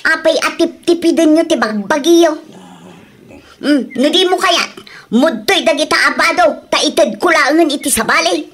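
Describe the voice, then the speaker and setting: A high, girlish-pitched voice of a spendthrift wife in an Ilocano radio drama. She is stubborn and defensive, exclaiming and whining in an Ilocano accent. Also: moderate pace, fairly bright tone. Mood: breezy, insistent. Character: spendthrift wife who likes expensive things, stubborn, defensive.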